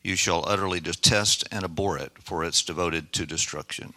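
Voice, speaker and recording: A man with a Dominican Spanish accent, reading scripture aloud in a steady, measured way to a class. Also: low voice, dry recording, low-quality recording.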